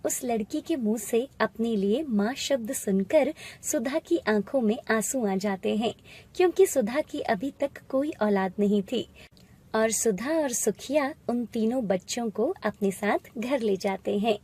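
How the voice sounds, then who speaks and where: A woman storyteller reads a scripted moral tale. Her voice is high and husky, and her delivery is slow and measured. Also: darkish tone. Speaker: story narrator.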